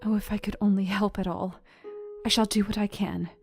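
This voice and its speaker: An actress playing an earnest young Victorian wife, reading her journal with precise, deliberate diction in a Gothic audio drama. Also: medium pitch, steady tone, lightly acted. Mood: tender worry. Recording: music behind the voice. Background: instrumental music.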